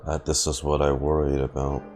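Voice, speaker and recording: A man's voice: rumbling low, flat delivery, brisk, a little mellow. A man giving casual reaction commentary as he streams a video game, close on the microphone. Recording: game music behind the voice.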